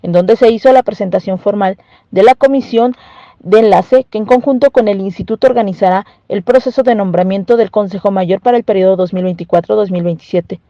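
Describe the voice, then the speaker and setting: A woman's voice: medium pitch, a little fast, no room sound, muffled recording. A woman with a Mexican accent reading a scripted news report as a reporter.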